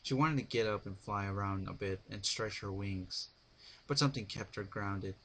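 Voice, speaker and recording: A man narrating a story as an amateur dramatic reading, halting and sometimes stumbling over words. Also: lowish voice, fairly bright tone. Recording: noisy amateur home recording.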